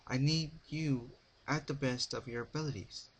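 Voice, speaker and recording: A man's voice: medium pitch, fairly even intonation, moderate pace, breathy edge, low-quality recording. A man voicing a dignified, caring female pony ruler's formal letter in a dramatic story reading. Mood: grave, concerned.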